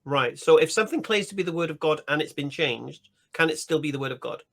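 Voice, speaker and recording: A man's voice: fairly high pitch, animated, fast talker, vocal fry, a bit bright. Insistent man cross-examining in debate. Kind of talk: pressing debate questions.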